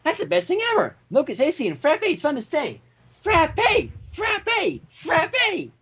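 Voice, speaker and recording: A story reader voicing a hyperactive, childlike female cartoon pony in a bubbly, gushing, excitable manner. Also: sits high, unhurried, voice pushed up, full character voice. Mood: giddy. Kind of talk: cartoon character reading in a fan fiction story.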